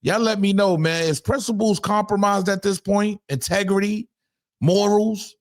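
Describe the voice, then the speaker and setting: Emphatic high-voiced Harlem man commenting. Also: steady tone, normal speed. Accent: New York. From Harlem, New York. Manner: emphatic, repeats rhetorical questions. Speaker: commentary channel host. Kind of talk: casual commentary to camera.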